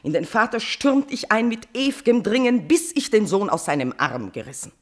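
An actress playing a guilt-tormented, passionate queen in a classical verse tragedy for radio, declaiming with shifts between hushed and forceful delivery. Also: medium pitch, very animated, moderate pace. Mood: anguished passion. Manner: declamatory, swinging from whispers to outbursts.

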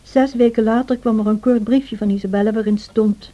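An actress playing a devoted, troubled housekeeper who tells a tragic story in a radio drama, in a measured, confiding way. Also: female voice, a little high, steady tone, dark tone, close to an everyday voice. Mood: sombre storytelling. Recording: dull old radio play recording.

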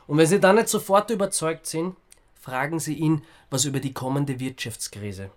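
A man's voice: a little high, moderate pace, natural voice. A man with an Austrian accent playing a flippant, reluctant everyman hero in a radio drama, speaking casually. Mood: sardonic, flippant. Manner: casual, sardonic.

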